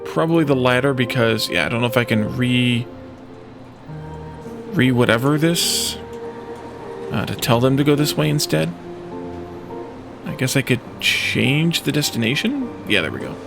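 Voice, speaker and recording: A man's voice: medium pitch, normal speed, gravelly, pauses often, over music. A man giving casual let's play commentary, thinking aloud and musing to himself as he plays.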